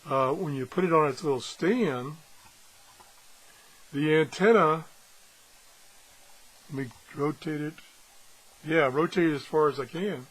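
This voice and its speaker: Husky man in a rambling product demo. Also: on the high side, unhurried, long pauses, rough recording. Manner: rambling, thinking aloud with broken-off asides. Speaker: radio reviewer. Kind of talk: casual, unscripted product demonstration.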